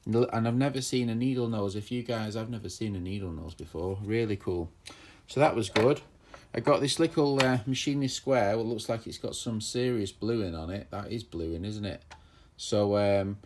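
A man's voice: fairly low pitch, a little mellow. A man chatting casually and enthusiastically as he shows off old tools, rambling with plenty of fillers. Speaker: tool collector vlogger. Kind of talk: casual show-and-tell narration.

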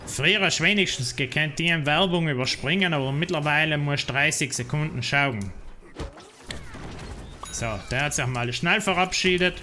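A man's voice: fairly high pitch, normal speed, sharp tone, faint music behind. A man with a South Tyrolean German accent, rambling and complaining in casual chat while streaming a game. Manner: rambling, complaining, many fillers. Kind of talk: casual gameplay livestream chat.

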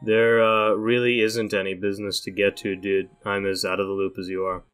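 A man voicing a laid-back, deadpan cool young guy in a cartoon dub. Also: lowish voice, a little fast, clean tone, faint music behind, natural voice. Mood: unbothered. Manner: deadpan, unbothered drawl. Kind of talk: animated web comic dub acting.

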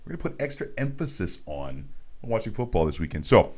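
A man in his thirties with a lowish voice hosts a sports show. He banters casually and teases his co-host, speaking into a studio microphone. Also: sing-song, a little fast, darkish tone. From Montreal, Canada. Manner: joking, teasing. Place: radio studio. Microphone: close studio mic on boom arm.

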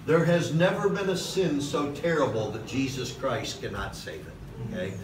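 Man preaching in an echoey church hall. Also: mid-range voice. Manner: impassioned preaching, repeated "okay?" asides, slows for emphasis. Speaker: pastor. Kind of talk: sermon. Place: large church hall with podium. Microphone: far camera in the hall. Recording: echoey hall recorded from a distance with noise.

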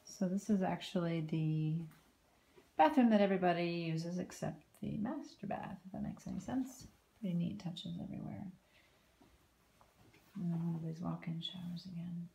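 A woman giving a casual walking tour of a house and its backyard, filmed on a handheld phone, with chatty remarks that trail off into soft asides. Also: lowish voice, moderate pace, gravelly, breathy edge. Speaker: home tour vlogger. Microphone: phone camera held while walking.

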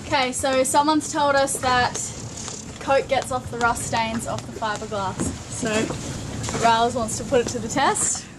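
Chatty woman, light and high. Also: steady tone, unhurried, background noise. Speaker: vlog host. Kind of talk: casual travel vlog narration. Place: on a sailboat and beach outdoors. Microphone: action camera.

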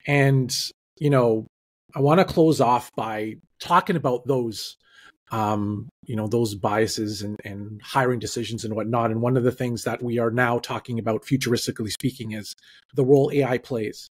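A middle-aged man hosting a webcast interview on a video call, asking questions in a measured way. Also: medium pitch, steady tone, breathy edge. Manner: measured, with frequent listening murmurs. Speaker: podcast host. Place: home room. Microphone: headphones on a video call.

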